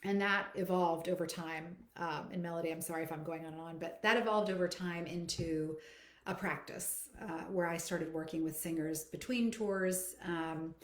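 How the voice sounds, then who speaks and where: A woman's voice: lowish voice, fairly even intonation, moderate pace. A middle-aged woman speaking as a voice coach in a live Q&A, explaining things reflectively and addressing listeners directly in a conversational way. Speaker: voice coach and therapist hosting a live Q&A. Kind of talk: conversational live Q&A, answering audience questions. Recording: echoey, roomy sound.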